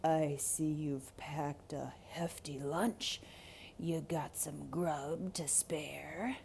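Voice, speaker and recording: A woman's voice: low-pitched, steady tone, extremely slow, creaky, a little mellow, slight background noise, voice pushed down, heavily acted. A woman voicing a greedy, sly male wolf in a children's storybook, coaxing and menacing with a growl. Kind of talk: theatrical children's storybook read-aloud.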